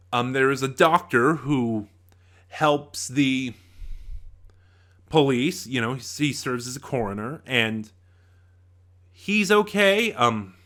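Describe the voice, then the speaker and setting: A man with a middling pitch talks to viewers as a reviewer. His delivery is casual and unscripted. He halts now and then, trails off and circles back to finish a thought. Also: a bit bright. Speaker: reviewer, host of audio drama reviews.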